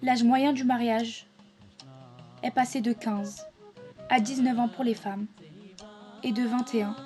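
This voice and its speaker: A woman's voice: fairly high pitch, fairly even intonation, takes it slowly, mellow, soft background music. A woman narrating formal, informative documentary material from a script.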